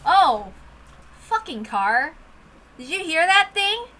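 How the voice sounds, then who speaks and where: Very high, bright-voiced woman reading aloud. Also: takes it slowly. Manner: expressive, informal. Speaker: reader of a visual novel. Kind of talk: dramatic story reading with casual asides.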